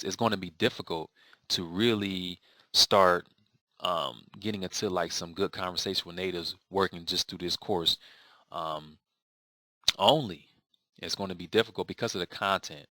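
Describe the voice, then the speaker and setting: A man with a very low voice that still rings bright and forceful talks through a viewer's question in a loose, rambling way. He restarts his sentences and leans on fillers as he explains. The sound is dry and close, with no room around it. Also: no echo. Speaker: language learning vlogger. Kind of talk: casual spoken video answer.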